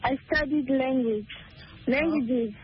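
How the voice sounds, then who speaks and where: A woman student introducing herself in slow, careful speech. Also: sits high, husky, highs cut off. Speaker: student guest. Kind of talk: casual chat.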